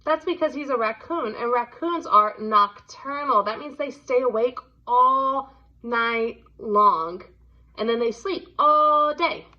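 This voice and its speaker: A woman reading a story aloud to young children in an expressive, teacherly way. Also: a little high, slow. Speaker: storybook reader. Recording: dull, lo-fi sound.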